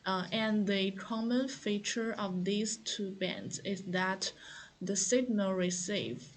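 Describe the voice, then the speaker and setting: A woman giving an unscripted product tutorial, explaining step by step in a halting, hesitant manner. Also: mid-range voice, low-quality recording. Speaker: product demonstrator.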